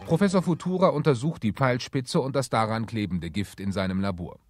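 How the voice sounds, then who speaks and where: A man's voice: mid-range voice, a little fast, a little mellow, faint music behind. A man reading scripted narration as the narrator of a children's radio play.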